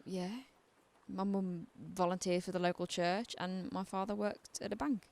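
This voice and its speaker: A woman's voice: medium pitch, fairly even intonation, moderate pace, vocal fry, darkish tone, natural voice. Woman acting a defiant, sardonic young British inmate. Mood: guarded, wry. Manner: sardonic, challenging. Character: defiant, bitter 26-year-old woman psychiatric inmate. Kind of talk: radio drama acting.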